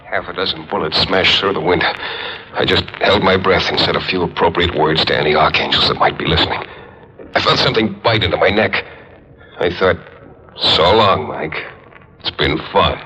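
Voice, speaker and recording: An actor playing a tough, world-weary private detective, narrating wryly and deadpan in a 1940s radio crime drama. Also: male voice, low-pitched, moderate pace, natural voice. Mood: grim, wry. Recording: noisy muffled old radio recording.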